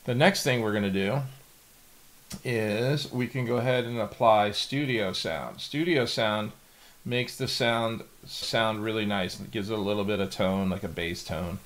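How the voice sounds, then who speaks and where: A middle-aged man with a fairly low voice. He hosts a casual software tutorial. His explanations are plain and go step by step. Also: a little slow. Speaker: tutorial host. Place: indoor home office.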